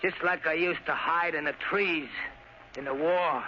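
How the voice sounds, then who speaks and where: An actor playing an unhinged, vengeful young war veteran turned sniper in a 1940s radio drama, speaking in an obsessive, repetitive, childlike way. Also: male voice, steady tone, a little slow, lightly acted. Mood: startled, bewildered. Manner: high-strung, obsessive, repetitive. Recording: dull, noisy old radio recording.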